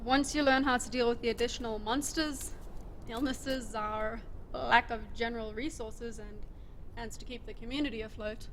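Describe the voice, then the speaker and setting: A woman's voice: a little high, fairly even intonation, normal speed, a bit bright, slight background noise, poor audio. A woman playing a cocky, reckless wasteland survivor in an audio drama, breezy, teasing and nonchalant.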